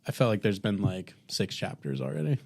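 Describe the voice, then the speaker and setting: Lower-voiced casual podcast guy. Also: sing-song, fairly quick, a little mellow. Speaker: podcast co-host. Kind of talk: casual chat.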